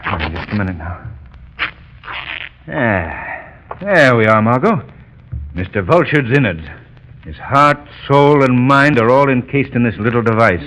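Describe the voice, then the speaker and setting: Animated, assured gentleman sleuth. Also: mid-range voice, measured pace, pauses often. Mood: assured, curious. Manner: decisive, urbane. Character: assured gentleman sleuth, commanding yet urbane. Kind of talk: 1930s radio drama acting. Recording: faintly noisy old 1930s radio recording.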